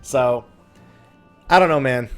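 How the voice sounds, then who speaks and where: A man's voice: medium pitch, slow, faint music behind. A man giving casual, rambling let's play commentary, informal and often correcting himself as he drifts into side thoughts. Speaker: gaming video host.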